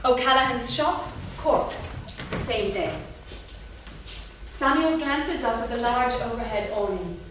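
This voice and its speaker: A woman reads scene directions aloud in a somewhat high voice. She narrates from a script in a very reverberant theatre, with clear noise in the recording. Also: unhurried, distant mic. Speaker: stage-directions reader. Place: theatre, staged reading.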